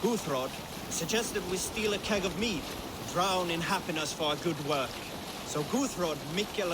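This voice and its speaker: Viking sailor, high voice, measured saga-telling, video game, loud rowing and water noise. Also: male voice. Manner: measured, formal storytelling. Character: adult Viking sailor recounting a childhood tale to crew. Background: rowing boat on water.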